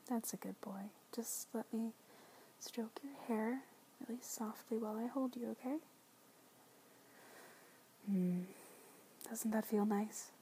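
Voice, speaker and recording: Woman, soft ASMR whisper, slow, mellow, tender soothing roleplay, faint background hiss. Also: medium pitch, low-quality recording. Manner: soft soothing whisper, tender pet names, gentle repeats. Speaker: audio roleplay performer.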